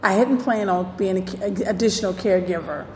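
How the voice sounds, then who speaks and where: A woman's voice: medium pitch, a little fast, a little hiss or hum. A woman in her sixties who works part-time as a caregiver, answering interview questions frankly and with small hesitations. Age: 60s. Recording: echoey room with long reverb.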